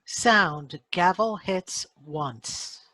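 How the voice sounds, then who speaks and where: A woman's voice: fairly low pitch, extremely slow, pushed and bright, dry recording, rough recording. A woman reading out sound-effect cues for a radio drama in short, announcing phrases.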